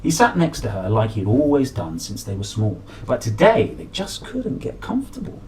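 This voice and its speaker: A man in his thirties reading a children's picture book aloud, playful and dramatic with drawn-out emphasis. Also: lowish voice, wildly swooping pitch, a little mellow, a little hiss or hum, low-quality recording. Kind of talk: animated children's story reading. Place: small room at home, at a desk.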